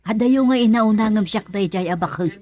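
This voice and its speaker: A woman's voice: mid-range voice, fairly quick, warm tone, natural voice. Radio comedy role: Filipino village woman, actress, mid-range voice, knowing neighbourly delivery. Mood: explaining. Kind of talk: Ilocano radio comedy drama acting.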